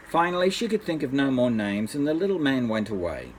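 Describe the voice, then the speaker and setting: A man's voice: mid-range voice, very animated, fairly quick, a little hiss or hum, poor audio. An older man, a grandfather, reads a bedtime story aloud expressively, addressing small children.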